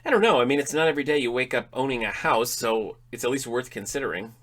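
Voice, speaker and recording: A man's voice: medium pitch, lively intonation, fast talker, a bit bright. An actor playing a wry, sarcastic, slightly uneasy middle-aged father in an audio drama comedy. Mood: wry, reminiscing. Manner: dry, sarcastic. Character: wry, grumbling, uneasy father exploring an inherited house.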